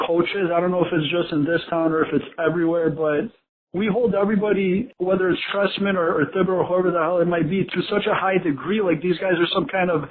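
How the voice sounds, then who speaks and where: A man's voice: high voice, steady tone, fast talker. A man calling in to a sports show by voicemail, ranting his opinions in a blunt, profane way. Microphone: phone. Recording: noisy phone voicemail, tinny with clicks.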